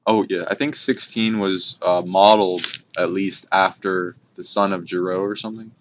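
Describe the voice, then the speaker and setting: A man's voice: sits low, steady tone. A man talking casually and hesitantly about spiritual ideas as a host, rambling and swearing now and then. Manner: hesitant, halting, casually profane. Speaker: host explaining esoteric ideas. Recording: muffled, noisy hobby recording with clicks.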